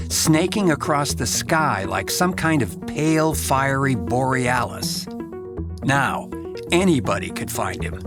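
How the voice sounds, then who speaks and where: A steady pilot and team leader tells his story in a radio drama. His mid-range voice is measured yet urgent, with music running clearly behind it. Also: mid-range voice. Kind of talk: scripted first-person radio drama narration.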